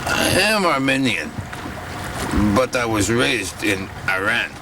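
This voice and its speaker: A man voicing an immigrant recounting a run-in with police, halting and deadpan with many fillers, recorded aboard a boat. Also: medium pitch. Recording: boat noise behind.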